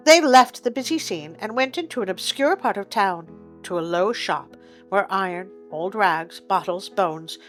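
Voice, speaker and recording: Animated woman storyteller over faint music. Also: mid-range voice. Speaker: storyteller, host of a reading show. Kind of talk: expressive audiobook-style story narration.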